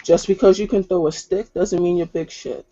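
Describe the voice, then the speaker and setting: Man playing Victoria, a scornful, high-pitched werewolf. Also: steady tone, moderate pace, dark tone, muffled recording. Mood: scornful anger. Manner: scornful, confrontational, sarcastic. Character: Victoria, hostile, domineering, foul-mouthed female werewolf. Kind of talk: in-character tabletop roleplay.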